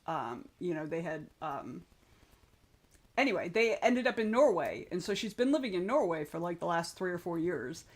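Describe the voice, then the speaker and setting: A woman's voice: fairly low pitch, sing-song, normal speed. A young adult woman hosting a writing stream, chatting casually and reading out viewer comments, rambling and frank, with some swearing.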